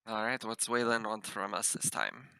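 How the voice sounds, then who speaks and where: A man's voice: on the low side, moderate pace, gravelly, sharp tone, a little hiss or hum. A man playing a tabletop roleplaying game, speaking casually in character and asking questions.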